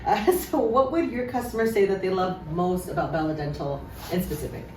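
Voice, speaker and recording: A woman hosting an interview, upbeat and quick to laugh. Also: lowish voice, animated, a little mellow. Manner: enthusiastic, laughs often. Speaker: interview host. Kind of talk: casual interview. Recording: echoey room, mic at a distance, faint noise.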